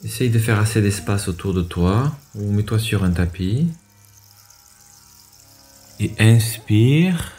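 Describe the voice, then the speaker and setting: Low, mellow male yoga teacher over music. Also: expressive. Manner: measured, instructing step by step with pauses. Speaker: yoga teacher and radio show host. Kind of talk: guided yoga class instruction. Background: music under the voice.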